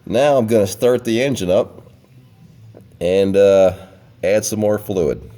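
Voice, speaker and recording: A man's voice: on the low side, dark tone. A man working as a mechanic, explaining a repair step by step while congested from a slight cold. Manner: plain, unhurried how-to explaining. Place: auto repair shop.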